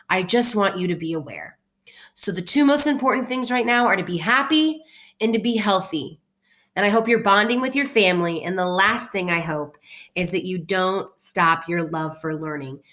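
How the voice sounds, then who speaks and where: A woman speaking as an elementary teacher, expressive and encouraging, in simple words for children and their families. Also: lowish voice, moderate pace. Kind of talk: children's read-aloud with casual talk to families.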